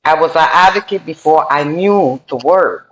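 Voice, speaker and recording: A woman's voice: sits low, moderate pace. A middle-aged woman who grew up in New York and speaks Spanish first, with a Spanish and New York accent. She is a podcast guest telling her life story earnestly, with frequent ums and restarts. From New York City. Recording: roomy echoey room sound.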